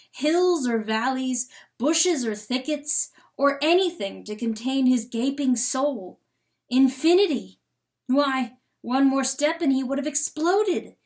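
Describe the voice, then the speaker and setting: A woman's voice: high voice, a little mellow. A woman giving a theatrical, emphatic dramatic reading of a story as an audiobook narrator. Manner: theatrical, emphatic, rhetorical questions.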